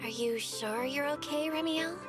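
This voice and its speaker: An actress playing a capable, friendly young technical specialist in a video game, brisk and clear-headed. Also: female voice, high-pitched, loud music behind the voice, natural voice. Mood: concerned.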